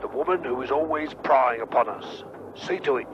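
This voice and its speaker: A man playing a ruthless spy chief giving urgent, hushed orders in a radio drama. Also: mid-range voice, normal speed, close to an everyday voice. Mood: urgent menace. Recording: noisy muffled radio recording.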